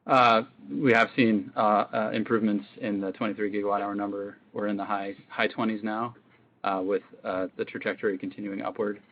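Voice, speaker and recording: A man speaking as an executive on a conference call, giving measured, hesitant, unscripted answers. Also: fairly low pitch, little pitch movement. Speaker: company executive. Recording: muffled noisy conference call line.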